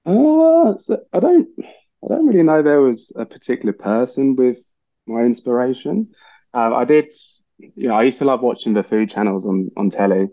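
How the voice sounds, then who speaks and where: A man's voice: mid-range voice, moderate pace, dry recording, dull audio. A man from England whose accent has picked up some Australian twang, a chef chatting casually as a podcast guest and laughing easily. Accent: English with some Australian twang. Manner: relaxed, rambling, many fillers, laughs easily. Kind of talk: casual interview chat.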